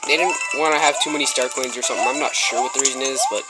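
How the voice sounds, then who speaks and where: A male gameplay commentator chatting casually in an excitable, rambling way with lots of fillers, slightly stuffy with a runny nose, recorded on a camera microphone. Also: medium pitch, rapid-fire. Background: loud game music.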